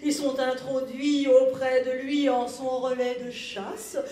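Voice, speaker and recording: A woman's voice: sits high, flat delivery, takes it slowly, dark tone. A woman narrating a scripted tale as a storyteller, reading expressively with the odd stumble. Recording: roomy, distant mic, some background noise.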